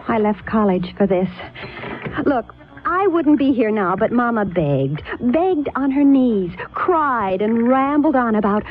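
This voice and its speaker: An actress playing a flippant, restless college-age niece with a breezy manner in a 1970s radio drama. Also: female voice, a little high, slow, narrow, tinny audio. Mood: exasperated. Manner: breezy, sassy, expressive. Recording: thin 1970s radio, faint music behind.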